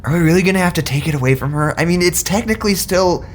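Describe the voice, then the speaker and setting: An actress playing an easygoing facility staffer with dry humor, chatting casually in an audio drama. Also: female voice, lowish voice, fast, slight background noise, lightly acted. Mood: casual, wry. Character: easygoing, drily joking female facility staffer. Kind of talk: naturalistic audio drama dialogue.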